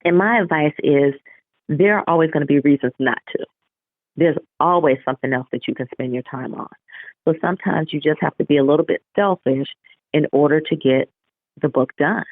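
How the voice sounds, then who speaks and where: Over a narrow, phone-like connection, a woman author chats casually in an interview. Her voice sits at a low-to-middle pitch for a woman, with little room sound. She talks in an animated way, slipping into little re-enactments of what people say. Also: a little fast, dry recording. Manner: animated, acts out remembered remarks. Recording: narrow phone-like line, radio-show sound.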